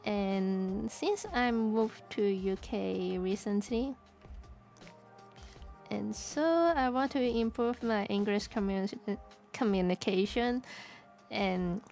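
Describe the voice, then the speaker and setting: A woman from Hong Kong with a Cantonese accent, chatting casually on stream, halting and restarting as she searches for words. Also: medium pitch, a little mellow. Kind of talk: casual chat while drawing.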